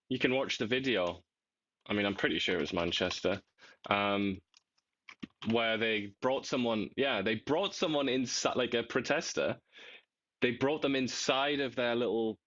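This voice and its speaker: A man co-hosting a political talk show, wry and quick to laugh, rambling as he explains. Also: mid-range voice, fairly bright tone, no echo, dull audio. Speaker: co-host.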